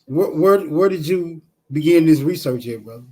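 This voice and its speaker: High-pitched man, formally worded questioner. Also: lively intonation, a little mellow, husky. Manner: formal, deliberate wording. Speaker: discussion participant putting questions to others. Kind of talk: informal debate, questioning.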